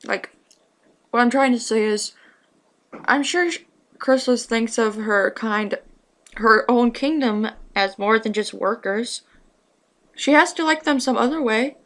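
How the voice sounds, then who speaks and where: A woman chats casually, reacting off the cuff in a fairly high voice. She takes her time, with a slow, informal delivery. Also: a bit bright. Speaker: commentator reacting to fan fiction reading.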